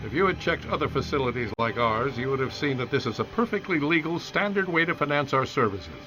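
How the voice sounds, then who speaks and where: Formal, menacing doctor played by a man, mid-pitched. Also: expressive, lo-fi sound, over music, natural voice. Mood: coolly defensive. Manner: formal, measured, self-justifying. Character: smooth-talking villainous male care-home doctor, coolly menacing. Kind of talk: radio drama acting.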